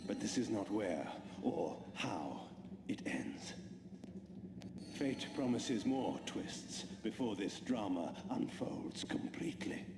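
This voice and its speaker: A man's voice: on the low side, lively intonation, takes it slowly. A man playing a scheming, haughty vampire lord in a video game, theatrical and sardonic in delivery. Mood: menacing amusement. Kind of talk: dramatic video game cutscene acting. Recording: echoing room, loud background noise.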